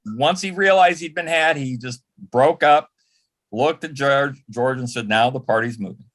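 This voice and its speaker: Older male, panel guest, medium pitch, casual storytelling, halting restarts, reminiscing. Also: fairly bright tone. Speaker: panel guest, former celebrity assistant.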